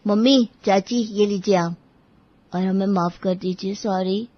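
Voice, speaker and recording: A woman's voice: medium pitch, fairly even intonation, unhurried, dull audio, natural voice. A woman voicing grumbling young males of a family in a cartoon story, with a complaining manner. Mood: apologetic.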